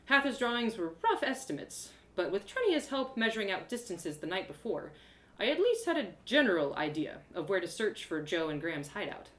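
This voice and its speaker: A woman voicing a plucky young girl adventurer who narrates her own journey in an expressive, colloquial storybook style. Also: medium pitch, roomy sound, close to an everyday voice. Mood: determined. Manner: expressive, colloquial, with exclamations and dramatic pauses. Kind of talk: animated first-person storybook narration, live one-woman audio drama.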